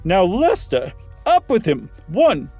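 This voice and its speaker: A man's voice: sits high, huge pitch swings, slow, darkish tone, soft background music, pitched above the performer's own voice, exaggerated voice. A man voicing a boisterous, teasing male skeptic in a horror story reading, with a theatrical, taunting delivery. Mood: urgent, bluffing. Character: Meagle, smirking, boisterous, teasing, mocking young male skeptic.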